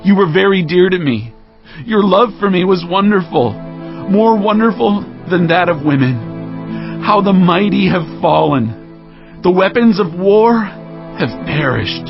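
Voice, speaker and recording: A man playing an earnest, devout warrior king, speaking in a measured, declamatory way in dramatized scripture audio. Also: high-pitched, animated, gravelly, heavily acted. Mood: grieving. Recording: dull lo-fi audio with music.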